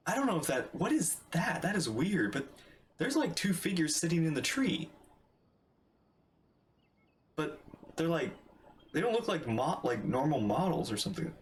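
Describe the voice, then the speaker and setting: Lively man reacting to a game. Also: a little high, a little slow, pauses often, slight background noise. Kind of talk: casual gameplay commentary, reading dialogue aloud.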